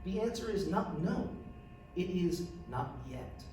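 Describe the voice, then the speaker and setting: A man's voice: high-pitched, animated, takes it slowly, dark tone, pitched above the performer's own voice. A man voicing a composed, diplomatic woman who heads a collegium in a storybook reading, courteous and firm. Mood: measured, firm. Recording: echoey room, distant mic, faint noise.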